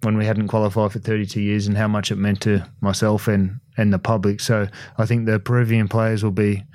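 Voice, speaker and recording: A man in his forties with an Australian accent, a former footballer giving measured, plain-spoken opinions on a podcast. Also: fairly low pitch, flat delivery, a little mellow, no echo, clean studio sound. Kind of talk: casual sports punditry.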